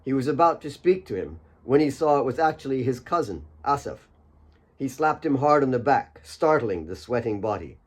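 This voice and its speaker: A man narrates a dramatic story as an audiobook. His voice is of middle pitch, and he reads scripted prose as its author. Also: big pitch swings, moderate pace, breathy edge. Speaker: author reading own audiobook.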